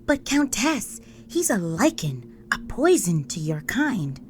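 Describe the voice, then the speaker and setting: A woman's voice: mid-range voice, very animated, slow, slight background noise, voice pushed up. A woman voicing a deferential human guard serving a vampire countess, formal and earnest, in a scripted audio roleplay. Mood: urgent, protesting.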